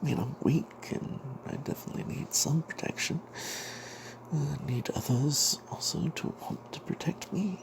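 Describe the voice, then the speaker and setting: A man tells a tabletop fantasy adventure as an in-character narrator, in a breathy, hushed, secretive ASMR whisper with dramatic pauses. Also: a little high, background noise. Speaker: narrator telling the tale in character as a cleric.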